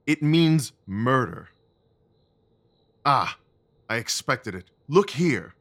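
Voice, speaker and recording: A man acting a self-assured, decisive detective in an audio drama, speaking with calm, deliberate authority. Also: mid-range voice, heavily acted. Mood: grave, intent.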